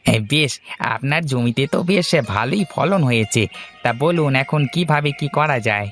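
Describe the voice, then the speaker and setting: A man's voice: on the high side, expressive, normal speed, fairly bright tone, faint music behind. Man as a polite, businesslike produce trader. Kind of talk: cartoon dialogue acting.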